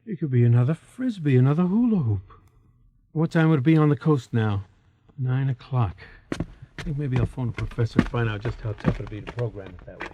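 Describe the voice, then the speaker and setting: An American actor playing a breezy, self-absorbed husband in a radio drama, offhand and dismissive. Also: male voice, medium pitch, lively intonation, brisk, warm tone, dull audio, slight background noise, natural voice. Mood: eager. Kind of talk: 1980s radio drama acting.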